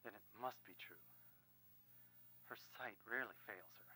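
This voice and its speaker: A sly, worldly cult priest from a horror game, with a sharp, bright and fairly low voice. He is knowing and insinuating, heard over a noisy hum. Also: moderate pace. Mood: wry. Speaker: video game voice actor. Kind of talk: dramatic game dialogue.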